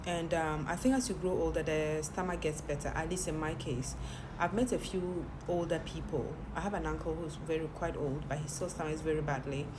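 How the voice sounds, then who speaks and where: A woman's voice: deep voice, brisk. A woman talks frankly to camera in a personal monologue, often repeating words and restarting her sentences. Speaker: content creator and TV presenter. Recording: steady background noise.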